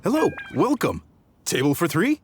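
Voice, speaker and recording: A man's voice: on the high side, moderate pace, background noise. A man voicing a proud, courteous restaurant chef in a video game, eager to please. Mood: welcoming. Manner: courteous, animated salesmanship.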